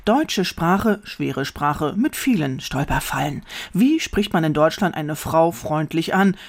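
A woman's voice: deep voice, lively intonation, slow pace. A woman reporter reading scripted narration for a radio feature.

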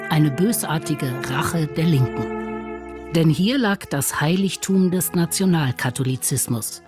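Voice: mid-range voice, lively intonation, a little slow, music in the background. A narrator reading a scripted radio documentary.

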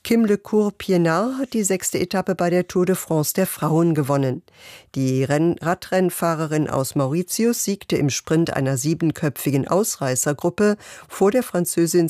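A woman reads the radio news in a steady, measured voice. The sound is dry and close, with no room around her. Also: low voice, no room sound. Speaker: newsreader. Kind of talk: scripted radio news reading.

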